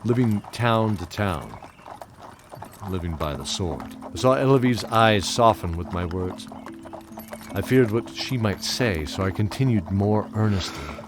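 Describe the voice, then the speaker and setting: An actor playing a weary, wistful old knight who tells his tale in measured, theatrical fantasy audio drama. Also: fairly low pitch, expressive. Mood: wistful, earnest. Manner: measured, theatrical, chuckling reminiscence. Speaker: narrator and lead character. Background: music under the voice.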